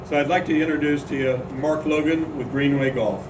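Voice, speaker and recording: A man's voice: a little high, fairly even intonation. Man chairing a members meeting, lots of uhs, distant echoey room, humming bg. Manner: halting, lots of "uh" fillers. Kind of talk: meeting announcement. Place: meeting room at a golf club. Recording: roomy, distant mic, background hum.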